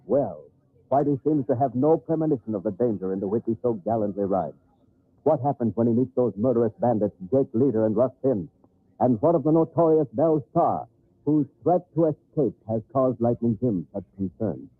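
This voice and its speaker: A man's voice: medium pitch, expressive, normal speed, pauses often, breathy edge, no room sound. A man giving grave, formal scripted narration for an old-time radio drama. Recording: tinny, narrow-band old radio recording.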